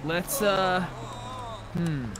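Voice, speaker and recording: Higher-pitched man in casual game chat. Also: very slow. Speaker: player in online roleplay game. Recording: faint background noise, game vehicle sounds.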